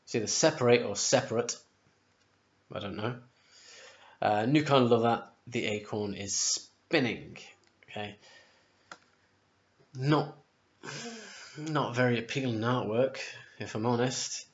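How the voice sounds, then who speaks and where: A man's voice: mid-range voice, unhurried, long pauses. A man in his forties with a sore throat, chatting casually and rambling to a webcam in his home kitchen, often correcting himself. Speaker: music vlogger. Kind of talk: casual album ranking vlog. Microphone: webcam at close range. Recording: dull lo-fi webcam audio.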